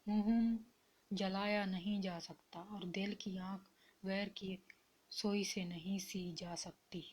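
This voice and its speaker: A woman reading spiritual quotes as scripted narration, in a measured and deliberate manner. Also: medium pitch, steady tone, darkish tone.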